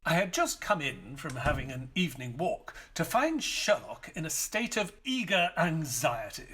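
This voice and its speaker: Loyal male sidekick, husky, lively intonation, literate, long-suffering, audio drama narrator. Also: fairly high pitch, normal speed, heavily acted. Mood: measured, storytelling. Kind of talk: audio play acting and first-person narration.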